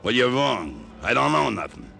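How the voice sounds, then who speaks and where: A wary, greedy American barkeep from a vintage radio crime show. His voice is bright and hard, with a gravelly creak. He talks in blunt street slang, his pitch jumping around, with music in the recording. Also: huge pitch swings, moderate pace, full character voice. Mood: wary, surly. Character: tough, wary, greedy male bartender in a seedy dive. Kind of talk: old-time radio detective drama acting.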